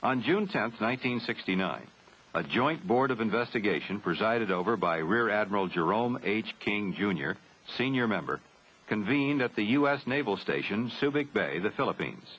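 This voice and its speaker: An American man narrating a 1970s military training film from a script, formal, grave and measured. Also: fairly low pitch, lively intonation, fairly bright tone. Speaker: documentary narrator. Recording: dull 1970s film soundtrack, faint noise.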